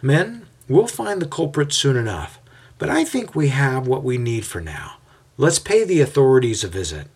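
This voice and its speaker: A man voicing a scheming, smooth-talking mob leader in an audio story, speaking with calculated menace. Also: mid-range voice, sing-song, moderate pace, darkish tone, pitched above the performer's own voice. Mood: smooth persuasive. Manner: raised, calculated and coolly menacing.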